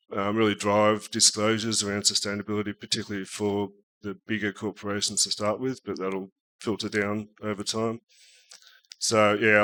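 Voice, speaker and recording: Hoarse man, flat low voice. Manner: measured, steady, with frequent ums. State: hoarse, losing his voice. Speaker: panelist, advisor on sustainability reporting. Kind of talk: panel discussion answer.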